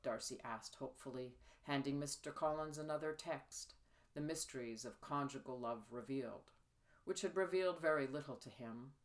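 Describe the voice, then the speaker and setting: A woman reading her own period fiction as expressive, measured audiobook narration. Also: extremely low pitch. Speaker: author reading own novel.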